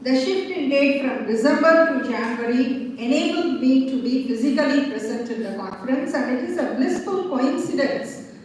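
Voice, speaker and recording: High-voiced woman lecturing in a hall. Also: lively intonation, a little mellow, a little hiss or hum. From Tamil Nadu, India. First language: Tamil. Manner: formal, measured lecturing with pauses. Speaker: conference speaker. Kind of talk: academic seminar presentation. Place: large seminar hall. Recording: echoey hall heard from far off.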